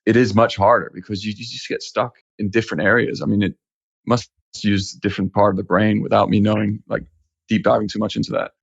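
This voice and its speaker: A man's voice: lowish voice, level tone, fast talker, gravelly, husky, dry recording. A man from Denmark, a guest in a casual interview, talking in a rambling way with many fillers and restarts. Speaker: guest, animation creative lead. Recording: dull lo-fi audio.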